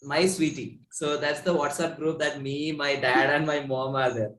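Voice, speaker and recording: A man's voice: a little high, little pitch movement, fairly bright tone. Young South Indian man, echoey room. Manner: halting, filler-heavy with many "like". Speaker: pop musician, interview guest. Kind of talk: casual chat.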